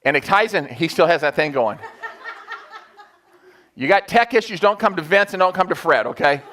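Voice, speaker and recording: A man's voice: high-pitched, animated, normal speed, sharp tone, slight background noise. A man informally leading a sales meeting, talking to a group in a colloquial, joking way. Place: meeting room in front of staff.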